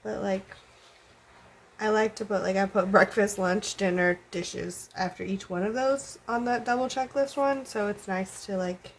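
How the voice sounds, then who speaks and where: A woman's voice: medium pitch, steady tone, unhurried, a little hiss or hum. Stuffy-nosed woman chatting casually. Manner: rambling, lots of ums and likes. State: stuffed up with a cold. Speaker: planner vlogger. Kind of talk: casual plan-with-me chat. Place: home.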